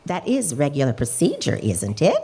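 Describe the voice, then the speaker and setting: A woman's voice: low voice, wildly swooping pitch, moderate pace, mellow, slight background noise, full character voice. A woman playing a fussy, talkative landlady in a radio drama, needling and pert.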